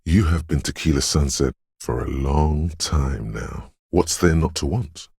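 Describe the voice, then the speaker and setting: An actor voicing a detective's eerie, dreamy inner voice of intuition in a video game, speaking in an ominous, cryptic, theatrical way. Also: male voice, a bass voice, measured pace, gravelly, a little mellow, husky, dry recording, studio quality, heavily acted. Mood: musing, cryptic. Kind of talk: scripted video game voice-over, second-person monologue.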